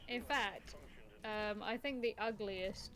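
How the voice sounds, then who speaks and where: A woman's voice: mid-range voice, slow pace, fairly bright tone, low-quality recording. A woman chatting casually on a gaming stream, blunt and opinionated. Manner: blunt, opinionated, laughs easily. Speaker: stream co-host.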